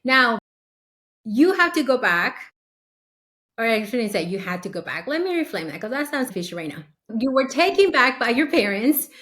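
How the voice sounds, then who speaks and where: A woman's voice: fairly high pitch, fairly bright tone. A woman hosting a podcast interview, upbeat and enthusiastic as she asks questions. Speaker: podcast host.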